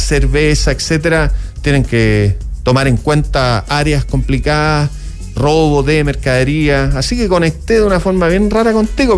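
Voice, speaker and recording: A radio host with a fairly high voice talks in long, wandering turns, circling back on himself with asides. Faint music sits under his voice throughout the segment. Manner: rambling, many asides and restarts. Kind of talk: casual radio interview.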